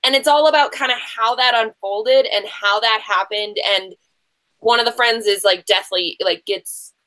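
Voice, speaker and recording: A woman with a fairly high, sharply bright voice hosts a casual online game show. She is chatty and gushing. She rambles, breaks off mid-thought and drops in slangy asides as she goes. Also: unhurried, poor audio. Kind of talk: casual livestream hosting, chatty.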